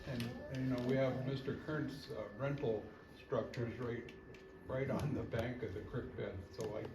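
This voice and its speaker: A man's voice: medium pitch, fairly even intonation, moderate pace. A man running a public meeting, answering a question hesitantly with many restarts. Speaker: meeting organizer. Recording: echoey room, distant mic, audible noise.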